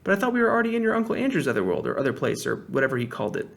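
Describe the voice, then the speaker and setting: A man reading aloud, voicing a sensible young girl in a higher, softer voice for a storybook. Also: wildly swooping pitch, very fast, creaky, slight background noise, heavily acted. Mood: puzzled.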